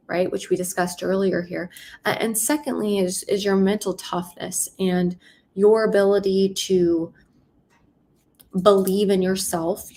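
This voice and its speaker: A woman teaching sales with a soft, empathetic manner. She often ends her points by checking in with the listener. Her voice sits at a middle pitch. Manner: soft-spoken, empathetic, frequent "right?" tags. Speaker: sales coach. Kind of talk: teaching with role-play demos.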